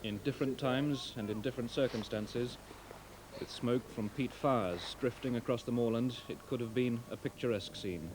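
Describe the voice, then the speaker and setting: Male TV war correspondent, measured scripted narration, medium pitch, noisy archive sound. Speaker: TV news war reporter. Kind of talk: scripted narration, news report.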